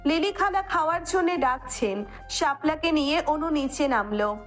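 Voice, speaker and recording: Very high-voiced woman narrating a story. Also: expressive, normal speed, a bit bright. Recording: music bed under the voice.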